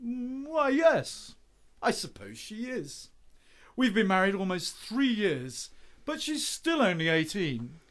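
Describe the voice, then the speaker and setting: Man acting a lively, charming young poet. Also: sits high, slow, heavily acted. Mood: reserved. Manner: courteous, theatrical, flowery. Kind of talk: radio play period acting.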